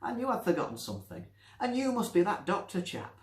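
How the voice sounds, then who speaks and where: A man's voice: sits high, sing-song, a little fast, echoey room, voice pushed up. A man voicing a feisty, chatty elderly widow with a Northern English accent, blunt and teasing, in a story reading. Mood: delighted, confident. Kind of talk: story reading with character voices.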